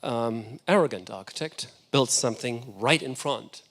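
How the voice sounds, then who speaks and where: A man's voice: mid-range voice, a little slow, fairly bright tone. A man whose first language is German, giving an enthusiastic, reflective architecture lecture. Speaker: guest lecturer.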